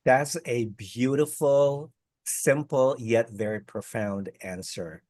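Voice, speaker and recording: A man's voice: mid-range voice, takes it slowly, a little mellow, no echo. Rambling male interviewer, dry sound. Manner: rambling, repeats words, friendly and joking. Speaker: interviewer, host. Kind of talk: casual interview chat. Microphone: video call.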